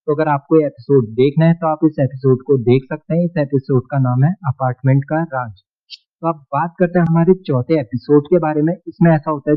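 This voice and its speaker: An Indian-accented man with a slightly high voice hosts a countdown video. He explains things casually and repetitively, and the sound is narrow and phone-like. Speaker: video host. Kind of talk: casual scripted YouTube countdown narration. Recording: muffled, narrow-band sound.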